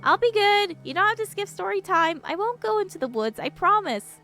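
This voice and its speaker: A small, obedient little girl, acted by a woman in a game story. The voice is pitched very high and sounds childlike, pleading and eager, with music in the recording. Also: big pitch swings, brisk, pushed and bright, full character voice. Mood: pleading, meek. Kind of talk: acted reading of visual novel dialogue.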